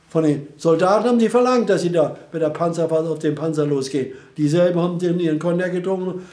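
Old guy telling war memories, fired up and angry, dark mellow voice in a roomy living room. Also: fairly high pitch. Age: older. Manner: impassioned, indignant storytelling. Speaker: interviewee recalling wartime. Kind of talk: personal memory interview.

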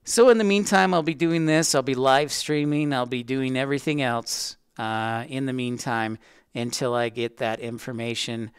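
Clear-voiced man chatting on a livestream. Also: medium pitch, normal speed, dry recording, studio quality. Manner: chatty, rambling, addressing viewers by name. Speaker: livestream host, aviation educator. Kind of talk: casual livestream chat with viewers.

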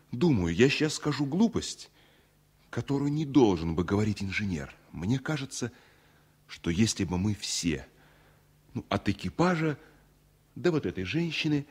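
An actor playing an earnest, anxious engineer in love in a 1970s Soviet radio play, speaking in a thoughtful, troubled way. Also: male voice, mid-range voice, husky, exaggerated voice.

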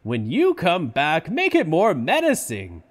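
An exacting older park owner, acted by a man in an audio drama. His voice is high and swings dramatically, and he takes his time, pressing every demand with theatrical emphasis. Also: wildly swooping pitch, unhurried, full character voice.

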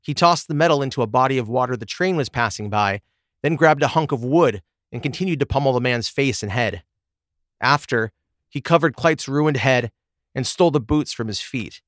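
A man's voice: medium pitch, moderate pace, a bit bright, dry recording, clean studio sound. A man hosting a true crime podcast, reading a scripted narration. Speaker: podcast host.

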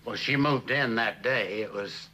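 An actor playing a defensive working-class handyman giving blunt, ungrammatical, halting testimony in a television courtroom drama. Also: medium pitch, pushed and bright, slight background noise. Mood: defensive, sullen. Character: defensive, plain-spoken working-class building handyman on trial.

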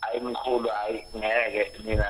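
A man's voice: fairly low pitch, flat delivery, unhurried. A South African man talking casually over the telephone. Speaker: musician taking a phone call. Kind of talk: casual phone conversation. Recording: noisy, humming phone line.

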